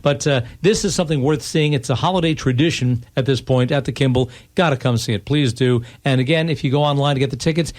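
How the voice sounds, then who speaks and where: A man's voice: medium pitch, quick pace, few pauses, a little mellow. A man hosting a casual live radio interview in a studio, chatting in a folksy way. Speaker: radio host and performer.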